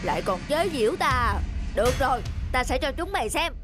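A surly, lonely cartoon fox who grumbles and bullies. The complaints stretch out and turn threatening, with a lively swing in pitch. Music plays clearly underneath. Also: brisk. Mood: irritated. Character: sulky, bad-tempered lonely fox, a bully. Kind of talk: cartoon dub acting.

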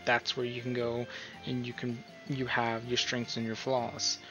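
A man's voice: medium pitch, completely flat delivery, measured pace. A man giving a casual screen-recorded tutorial, thinking aloud informally and often checking in with the listener. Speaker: tutorial host. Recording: dull, lo-fi sound with music.

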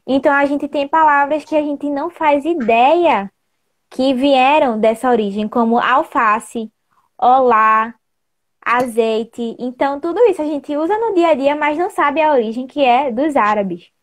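A woman in her twenties with a northeastern Brazilian accent speaks as a student guest in an online chat. Her voice is a little high, and she explains things casually. She talks into a wired earphone mic at home. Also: measured pace. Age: 20s. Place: indoor room at home. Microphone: wired earphone mic on a video call.

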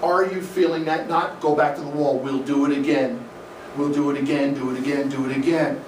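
A middle-aged Midwestern man presents to a live crowd in a dim event room, speaking energetically and conversationally, filling pauses with "uh". His fairly high voice reaches the camera from a distance and sounds roomy. A faint hum sits behind him. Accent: Midwestern American. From American Midwest. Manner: energetic, gesturing, conversational with fillers. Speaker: swim coach giving a talk. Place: dim indoor event room, in front of a projection screen. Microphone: room camera from the audience, no mic seen. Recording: echoey room, voice from afar, faint noise.

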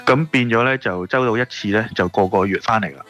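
A man's voice: lowish voice, a little fast, a bit bright, lo-fi sound. A man with a Hong Kong Cantonese accent chatting casually and explaining things as a radio guest. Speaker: radio interview guest, shop owner. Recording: music playing behind the voice. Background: background music.

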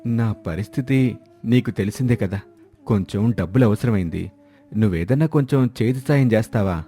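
A man's voice: fairly low pitch, moderate pace, dark tone, close to an everyday voice. A man playing a proud, touchy village husband in an emphatic moral story drama. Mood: pleading. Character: proud, touchy village husband who gives too freely. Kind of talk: moral story character acting.

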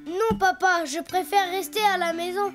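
A woman voicing a cheerful, curious little boy donkey in a cartoon, eager and lively. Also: extremely high pitch, normal speed, soft background music.

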